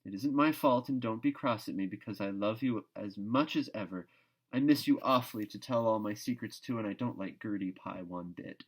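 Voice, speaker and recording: A man's voice: on the low side, a little fast, natural voice, low-quality recording. A loyal, affectionate storybook schoolgirl, as a man narrator reads her. She speaks in an emphatic, pleading way to her dearest friend. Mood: fond pleading.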